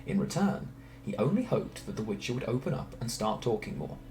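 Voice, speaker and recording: A man reading a scripted story aloud in a theatrical, expressive way. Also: mid-range voice, fairly quick. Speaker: storyteller reading fan narration. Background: background music.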